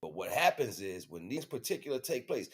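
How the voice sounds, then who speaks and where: A man's voice: medium pitch, very animated, brisk, breathy edge. An American man livestreaming an informal spiritual monologue to viewers, speaking in emphatic, repetitive, slangy street talk. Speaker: livestream host.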